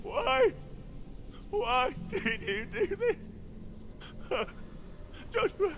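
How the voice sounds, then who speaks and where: A man's voice: very high-pitched, big pitch swings, extremely slow, gravelly, noisy background, exaggerated voice. An actor playing an anguished, defiant young swordsman in a video game, with intense, raw delivery. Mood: desperate grief. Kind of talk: dramatic video game acting. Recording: loud orchestral music behind.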